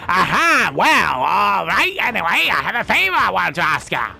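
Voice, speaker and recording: A man voicing a sly, jovial drunk old man who rambles and wheedles in a gravelly voice. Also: high-pitched, wildly swooping pitch, normal speed, bright, forceful tone, soft background music, heavily acted. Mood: boozy, coaxing.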